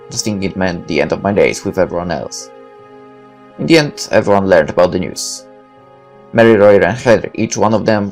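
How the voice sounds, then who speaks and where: A man narrates a visual novel in the first person, reading it dramatically. His voice is creaky with a lively range of pitch, over faint background music.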